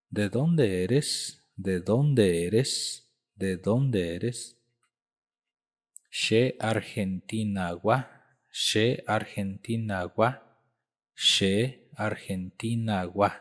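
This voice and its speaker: A man in his thirties teaching a language lesson, saying each phrase slowly and repeating it several times. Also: fairly low pitch. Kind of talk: slow spoken language lesson.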